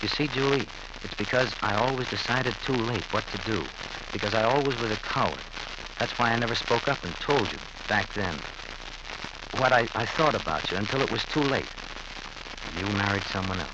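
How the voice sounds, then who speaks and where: Male actor as weary, regretful young American husband, low voice, vintage radio thriller, very noisy old recording. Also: expressive, moderate pace, natural voice. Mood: regretful, resigned. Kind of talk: 1940s radio drama acting.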